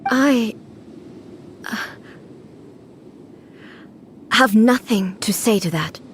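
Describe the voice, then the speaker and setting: A solemn immortal goddess who rules a nation, speaks in a fairly high, regal voice. Her delivery is formal and restrained, in the manner of an anime fantasy game, over faint ambient noise. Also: slow pace. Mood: flustered. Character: solemn, lonely immortal female ruler goddess of eternity.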